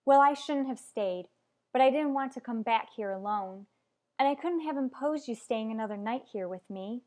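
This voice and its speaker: A woman's voice: fairly high pitch, normal speed, darkish tone, natural voice. A woman playing an anxious, clinging mother in an old-fashioned radio soap opera, speaking in an earnest, emotional way.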